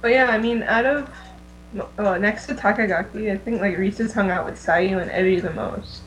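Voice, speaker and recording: A woman's voice: mid-range voice, normal speed. A woman co-hosting a podcast in casual chat, informal and peppering her talk with filler phrases, over a noisy internet call. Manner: informal, frequent "like" and "I mean".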